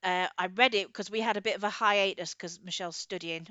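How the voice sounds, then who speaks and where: A woman's voice: medium pitch, steady tone, a little fast, sharp tone, no room sound, lo-fi sound. A woman co-hosting a podcast in a casual, rambling, enthusiastic chat, giving recommendations. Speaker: podcast co-host.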